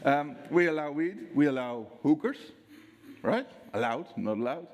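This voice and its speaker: A man's voice: on the high side, normal speed. A man from the Netherlands with a Dutch accent, giving a conference talk on security, acting out dialogue and quizzing the audience. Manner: lively storyteller, acts out dialogue, asks audience questions. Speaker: security speaker. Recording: long hall reverb.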